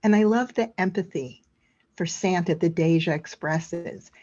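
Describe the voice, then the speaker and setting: A woman interviewing an author, asking questions in a measured, appreciative way. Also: lowish voice, dark tone. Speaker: interviewer for an education program. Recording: dull lo-fi recording.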